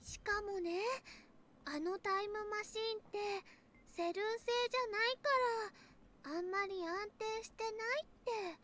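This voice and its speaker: A woman voicing a sweet, airheaded teenage anime girl in a Japanese visual novel, with childlike, drawn-out sentence endings. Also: very high voice, a bit bright, a little hiss or hum. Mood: earnest, caring.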